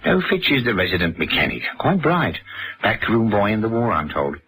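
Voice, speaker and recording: A deep-voiced, fussy English gentleman proprietor. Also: big pitch swings, normal speed, a little hiss or hum. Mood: jovial, evasive. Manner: effusive, plummy, digressive, repeats words. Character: affable, fussy, evasive gentleman proprietor of an answering service. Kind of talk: radio drama acting.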